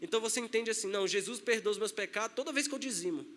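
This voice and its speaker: A man's voice: high-pitched, racing, a bit bright. A male pastor with a Brazilian accent, preaching a sermon in a loose, conversational way and acting out the voices he quotes. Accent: Brazilian Portuguese. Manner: conversational, acts out quoted voices, laughs at his own points.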